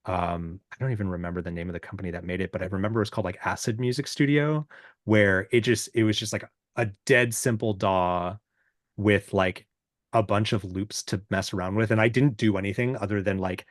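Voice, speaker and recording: Deep-voiced man chatting casually, close dry sound. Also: no room sound. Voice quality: deep, booming voice. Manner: halting, self-deprecating, informal. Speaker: podcast guest, musician and self-producer. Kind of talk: casual, reflective interview chat.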